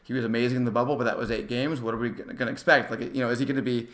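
A man's voice: mid-range voice, steady tone, racing, fairly bright tone. A man co-hosting a sports podcast, talking casually and thinking aloud as he gives his analysis.

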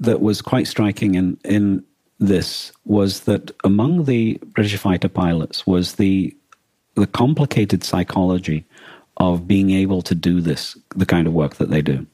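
A man co-hosting a podcast in reflective conversation, speaking earnestly in halting, emphatic phrases. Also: deep voice, expressive, dark tone, breathy edge, no echo, poor audio. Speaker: podcast co-host.

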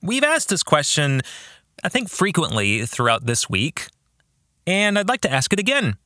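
A man hosting a podcast, reading scripted narration and book-club reflections with emphatic, deliberate phrasing. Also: on the high side, huge pitch swings, pushed and bright, no room sound, clean studio sound. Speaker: podcast host and author.

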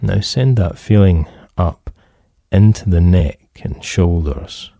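A man leading a guided relaxation as a therapist, calm and soothing, with measured instructions and pauses. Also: low voice, gravelly, no room sound, studio quality.

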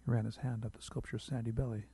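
A man's voice: mid-range voice, flat delivery, fast, creaky, dark tone, slightly rough, dry recording. A man reading a literary short story aloud as a narrator, close to the microphone.

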